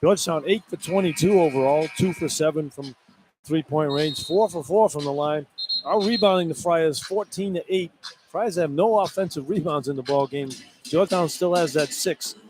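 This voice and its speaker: Male basketball radio analyst, higher-pitched, folksy and colloquial, noisy arena broadcast. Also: moderate pace. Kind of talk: informal basketball color commentary. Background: clear arena noise.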